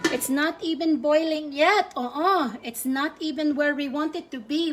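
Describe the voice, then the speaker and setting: A woman hosting a casual live cooking stream from her home kitchen, chatty, greeting viewers by name and easily sidetracked. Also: high-pitched. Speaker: host of a cooking livestream.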